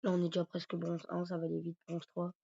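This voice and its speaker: Gravelly guy with a cold. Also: a little high, monotone, fast talker, mellow. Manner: rambling, hesitant. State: stuffy from a cold, sore throat. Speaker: gaming YouTuber. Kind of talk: casual gameplay commentary. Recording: dull lo-fi recording.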